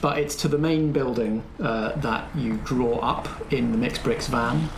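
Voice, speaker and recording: A man's voice: mid-range voice, measured pace. A man acting as game master, narrating scenes and prompting players in a tabletop roleplay. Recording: echoey room, faint background noise.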